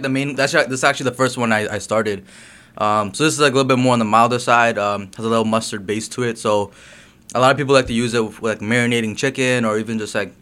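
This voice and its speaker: A man's voice: medium pitch, smooth. Easygoing young man, loose and chatty. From Guyana. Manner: relaxed, many fillers like um and you know. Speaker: guest, hot sauce business owner. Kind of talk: casual interview chat.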